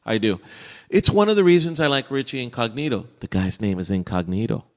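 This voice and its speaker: A man's voice: mid-range voice, expressive, brisk. A man hosting a sports podcast in casual, joking banter, with a blunt, crude and opinionated way of talking. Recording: echoey room with long reverb.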